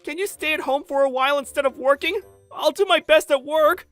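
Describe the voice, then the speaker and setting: A woman's voice: sits high, brisk, no echo, faint music behind, lightly acted. A woman voicing a selfish husband who refuses to do housework, in a blunt, demanding manner for a manga dub. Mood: pleading.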